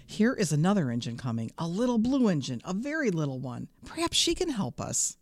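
A woman's voice: fairly low pitch, very animated, a little fast, dry recording. A woman voicing a funny little toy clown in a storybook, eagerly calling out with hope and cheer. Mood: hopeful.

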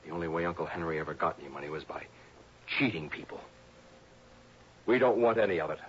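Polite, nervous young student; lower voice, faint hiss, muffled vintage broadcast. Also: male voice, expressive, fast. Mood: earnest. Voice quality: earnest, deferential, keeps saying sir. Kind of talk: 1960s radio drama acting. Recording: dull lo-fi 1960s radio broadcast.

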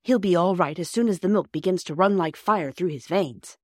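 A woman's voice: lowish voice, normal speed, a little mellow, dry recording, clean studio sound, close to an everyday voice. A woman voicing a proud, bossy young boy in a storybook, firm and declarative.

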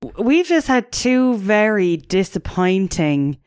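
A woman's voice: mid-range voice, big pitch swings, slow pace, a little mellow, clear, resonant tone, no echo, studio quality. A young woman co-hosting a podcast, telling stories in chatty, giggly casual talk. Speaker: podcast co-host. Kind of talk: casual chat.

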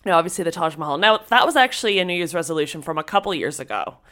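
A woman co-hosting a podcast in casual chat, a little stuffy and raspy from a cold, talking freely and restarting her sentences. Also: lowish voice, lively intonation, racing, fairly bright tone. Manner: chatty, laughs often, restarts sentences. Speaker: podcast co-host.

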